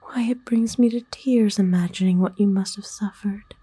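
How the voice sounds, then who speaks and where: A woman voicing a kind, nurturing forest witch in a soothing, folksy, unhurried breathy whisper for an ASMR roleplay. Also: mid-range voice, mellow, no echo, lightly acted. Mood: soothing, sympathetic. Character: kind, nurturing forest witch, playful and gently old-fashioned. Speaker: ASMR voice actor.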